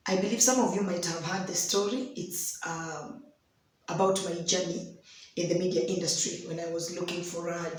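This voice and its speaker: A young Kenyan woman with a hoarse voice tells a personal story in an unhurried devotional talk, slipping in Swahili phrases. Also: lowish voice. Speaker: host of a daily devotional. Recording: very echoey room.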